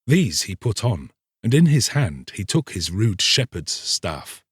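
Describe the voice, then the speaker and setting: A man narrating a literary tale from a script, expressive and deliberate. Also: lowish voice, husky, no room sound, clean studio sound. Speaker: audiobook narrator.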